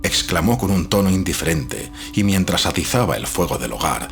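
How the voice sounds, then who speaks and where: Low deep guy reading a spooky classic story, measured and dramatic, bit of music behind. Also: big pitch swings, vocal fry. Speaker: narrator. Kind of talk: scripted literary horror narration.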